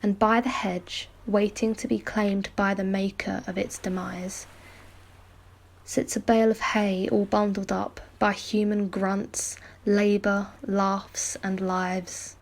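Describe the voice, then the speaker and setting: A woman reciting poetry in a measured, restrained way. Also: medium pitch, flat delivery, creaky. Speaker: poetry reader.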